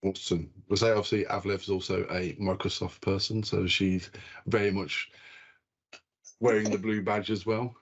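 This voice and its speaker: Very deep, husky-voiced male podcast host. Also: little pitch movement, normal speed, muffled recording. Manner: loose, rambling, repeats "obviously". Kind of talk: casual interview chat.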